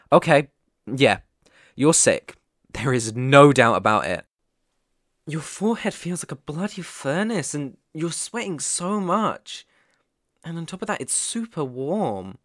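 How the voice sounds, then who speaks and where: A man's voice: fairly high pitch, no room sound, studio quality. A man playing a caring, worried boyfriend in an ASMR roleplay, talking in a soothing, tender, reassuring way. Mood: concerned. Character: caring, worried boyfriend fussing tenderly over sick partner.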